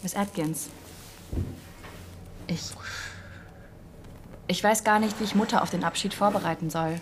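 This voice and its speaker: Actress as earnest young female agent, gentle, hesitant, polite, radio drama, engine and street noise behind. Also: mid-range voice, fairly even intonation, a little slow, lightly acted. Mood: anxious. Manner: hesitant, soft-hearted, polite.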